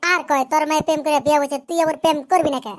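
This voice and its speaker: Cartoon schoolgirl played by a man: high-pitched, rapid, love-struck, cheeky, rural Bangladeshi accent. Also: steady tone, background noise. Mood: complaining. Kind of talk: comic cartoon dialogue.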